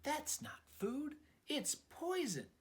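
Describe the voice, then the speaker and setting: Man voicing a gushing, lovestruck sea creature, high-pitched. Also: wildly swooping pitch, slow, exaggerated voice. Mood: alarmed. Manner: exclamatory, gushing, romantic. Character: lovestruck, earnest young male sea creature, excitable and sweet. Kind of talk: children's storybook character voice.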